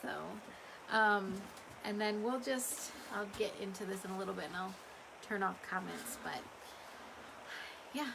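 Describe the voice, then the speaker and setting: A woman's voice: medium pitch, a little slow, creaky, pauses often, noisy background. A woman chats casually and ramblingly to customers on a livestream, her voice a little strained from talking. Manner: rambling, chatty. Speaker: small business owner hosting a live update.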